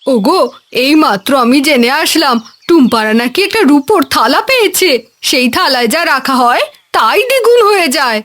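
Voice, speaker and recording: A woman's voice: sits high, big pitch swings, normal speed, hardly any pauses, a bit bright. A woman voicing the greedy, melodramatic wife of a hotel keeper in a Bengali cartoon, whining and scheming.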